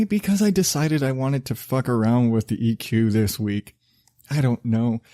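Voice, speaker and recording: A man hosting his own podcast, talking in a loose, rambling, self-deprecating way with a relatively low voice, laughing and swearing casually. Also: mellow. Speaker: podcast host.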